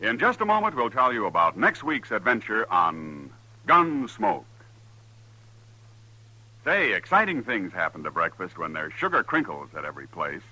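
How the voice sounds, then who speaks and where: A man's voice: on the low side, wildly swooping pitch, moderate pace, pushed and bright, rough recording. An American man announcing in a polished, salesmanlike 1950s radio style. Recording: vintage 1950s radio broadcast with music. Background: music.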